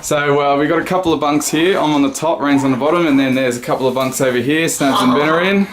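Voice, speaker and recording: A man casually narrating his travel vlog into a handheld camera, relaxed and chatty, moving between buses, a ferry and a small room. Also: a little high, fast. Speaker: vlogger. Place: on the move: bus, ferry, small hotel room. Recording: busy crowd and noise behind.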